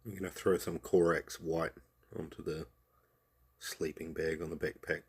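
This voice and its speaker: A man with a New Zealand accent who has a cold, talking through a painting tutorial in a relaxed voice-over that trails off with "sort of" and "um". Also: extremely low pitch, normal speed, husky.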